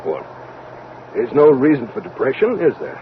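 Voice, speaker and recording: Actor as breezy older Army major, muffled old radio. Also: male voice, sing-song, normal speed, lightly acted. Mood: wistful, frank. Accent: American. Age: 60s. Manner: breezy, hearty, plain-spoken. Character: sixty-year-old Army major, cocky optimistic rocket crewman. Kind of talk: vintage American radio drama acting. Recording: muffled vintage radio recording, loud hiss, rumble.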